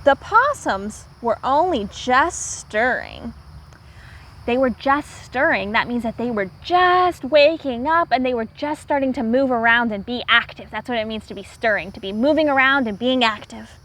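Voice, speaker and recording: A woman teacher reading aloud to young children, pausing to explain words simply and repeating herself for clarity. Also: fairly high pitch, animated, fairly bright tone.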